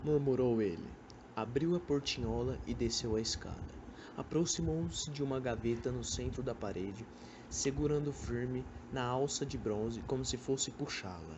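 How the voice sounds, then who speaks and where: A man's voice: mid-range voice, moderate pace. Young Brazilian man narrating, faint background noise. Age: young adult. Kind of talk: scripted literary radio drama narration. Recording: amateur recording with background noise.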